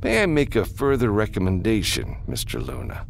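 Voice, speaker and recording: A man voicing a smooth, aloof criminal businessman in an audiobook, formal and condescending. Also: on the low side, huge pitch swings, normal speed, slight background noise, pitched above the performer's own voice, full character voice. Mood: cool, polite. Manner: formal, coolly polite, condescending.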